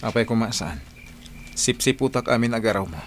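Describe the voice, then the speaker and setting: A man's voice: medium pitch, fairly quick, a little hiss or hum, lightly acted. A man with an Ilocano accent playing a patient, hardworking middle-aged husband and father in a Filipino radio drama. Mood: suspicious, wounded.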